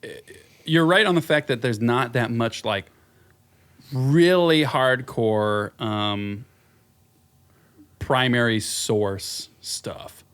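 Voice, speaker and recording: A man's voice: medium pitch, takes it slowly, long pauses, a bit bright, smooth. A man, a naturalist guest in casual chat, sarcastic and rambling with lots of "like.".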